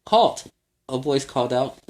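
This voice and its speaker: A man's voice: medium pitch, expressive, normal speed, a little mellow. A man hosting a children's story time, narrating a storybook with chatty asides. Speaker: children's story time host in superhero character.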